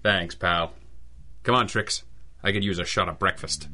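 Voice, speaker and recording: Deep, forceful hard-boiled private eye. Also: male voice, fairly even intonation, moderate pace. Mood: sardonic. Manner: dry, sardonic, world-weary. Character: tough, sardonic male hard-boiled private eye, war veteran. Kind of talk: old-time radio detective drama.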